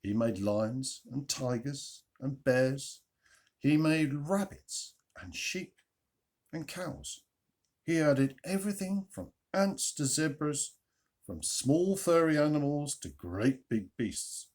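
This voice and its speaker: A man tells a children's story in a husky voice with very lively intonation. He leans on key words with dramatic pauses and turns to put questions to his young listeners. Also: on the high side. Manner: expressive, dramatic emphasis and pauses. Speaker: storyteller, reader.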